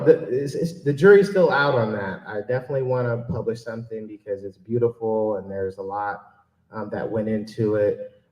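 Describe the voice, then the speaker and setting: A man giving an informal, joking and self-deprecating audience Q&A talk as a keynote speaker and author. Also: on the low side. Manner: joking, self-deprecating, animated when quoting himself. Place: public library event room. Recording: echoey room, mic at a distance.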